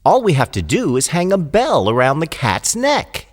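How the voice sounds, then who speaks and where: A man voicing a very young, earnest and confident little mouse in an animated fable, speaking eagerly and emphatically. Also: fairly high pitch, normal speed, in a large hall, pitched above the performer's own voice. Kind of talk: animated fable character voice in storybook narration.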